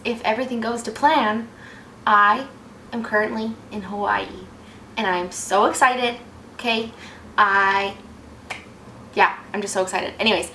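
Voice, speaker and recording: A woman in her twenties with a slightly raspy voice from a cold, chatting casually and rambling a little. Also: a little high, sharp tone. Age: 20s. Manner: chatty, rambling, self-deprecating. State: fighting off a cold. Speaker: vlogger. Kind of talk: casual chat. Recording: echoey room with faint noise.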